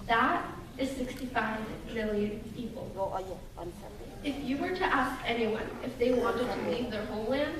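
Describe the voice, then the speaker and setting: A young woman from Russia delivers a prepared speech to an audience in an earnest, measured way. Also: on the high side. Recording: echoey hall from far off, noisy.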